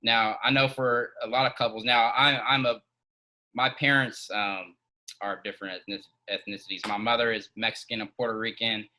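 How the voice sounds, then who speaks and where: A man hosting an interview, asking questions with hesitant pauses and many fillers. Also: medium pitch, little pitch movement, sharp tone, poor audio. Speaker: host.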